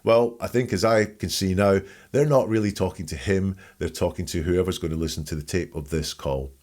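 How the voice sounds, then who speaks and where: A man's voice: deep voice, brisk, a little mellow. A man giving informal, blunt and opinionated commentary as he hosts an analysis of a recording. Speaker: host analysing a recorded call.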